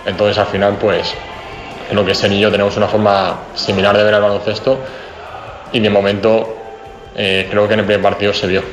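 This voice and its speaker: A young man from the area, a basketball player answering press conference questions in a relaxed, chatty way with many fillers. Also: fairly low pitch, brisk, soft background music. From Spain, Madrid region. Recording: echoey press room.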